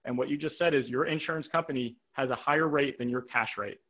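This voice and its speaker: A man's voice: mid-range voice, quick pace. A man coaching a live webinar, direct and practical, repeating points for emphasis and checking in with "right?", his voice tiring after a long day. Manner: checks in with rhetorical "right?" and "okay?". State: voice tiring from talking all day. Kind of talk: live webinar teaching with audience questions. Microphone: video call microphone. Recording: narrow, tinny video-call audio.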